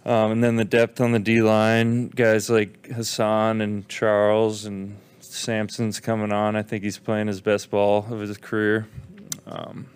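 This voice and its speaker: A man answering reporters as a football player, halting and full of ums. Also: on the low side, completely flat delivery, clear, resonant tone. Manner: halting, lots of ums and restarts. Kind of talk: locker room interview answer.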